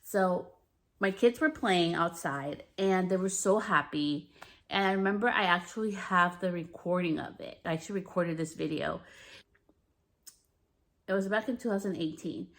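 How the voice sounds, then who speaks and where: Woman podcast host, lowish deep voice, blunt and candid, curses a lot. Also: moderate pace. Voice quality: deeper natural voice. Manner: frank, repetitive, swears freely. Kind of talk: candid personal monologue.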